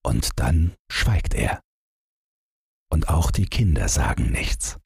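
A man reading a literary Christmas story as an audiobook narrator, in a measured, dramatic storytelling manner. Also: very deep voice, animated, vocal fry, a little mellow, breathy edge, no echo, clean studio sound.

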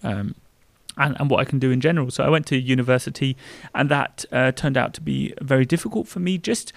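A man's voice: mid-range voice, a little fast, creaky. A man in his thirties with a German accent, chatting casually as a podcast co-host with a lot of fillers. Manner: chatty, rambling with frequent fillers.

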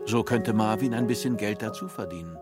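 A man's voice: lowish voice, a little fast, natural voice. A man playing the storyteller of a children's audio tale, reading scripted narration. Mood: calm narration. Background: music.

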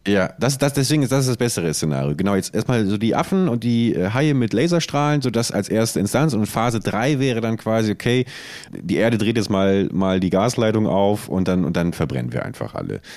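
A man's voice: fairly low pitch, lively intonation, a little fast, darkish tone, no room sound. A man co-hosting a casual comedic podcast, rambling through absurd ideas in an ironic way. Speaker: podcast co-host.

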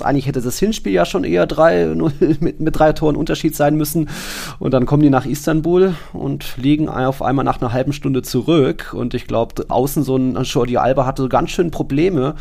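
A man's voice: on the high side, creaky, a little mellow. A man co-hosting a football podcast, recapping matches in a conversational, colloquial way. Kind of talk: casual football recap chat.

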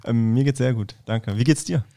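A man's voice: medium pitch, moderate pace, creaky. A man in his thirties from Berlin, a football player talking casually as a podcast guest, with frequent fillers and restarts. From Berlin, Germany. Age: 30s. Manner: relaxed, many fillers and restarts. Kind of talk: casual interview chat.

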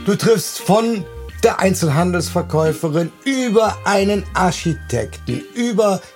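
A man, co-hosting a podcast and telling stories with lively enthusiasm. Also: high-pitched, measured pace, faint music behind. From Bonn, Germany. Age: 40s. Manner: animated, enthusiastic storyteller. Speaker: podcast co-host. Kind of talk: casual chat.

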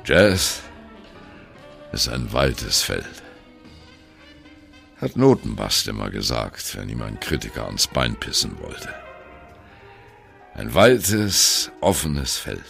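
An actor playing an ageing jazz musician who wryly and dramatically recalls his youth in a radio play monologue, with deliberate, colloquial phrasing and a gravelly voice. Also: very deep voice, big pitch swings, fairly bright tone, faint music behind, exaggerated voice. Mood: sardonic. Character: ageing male jazz musician, wry, reminiscing on postwar youth.

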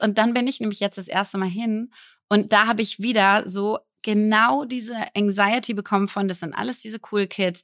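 A woman's voice: medium pitch, a bit bright, dry recording, clean studio sound. A woman in her thirties from Berlin, co-hosting a casual podcast chat and talking candidly, often laughing at herself. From Berlin, Germany. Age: 30s. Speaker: podcast co-host.

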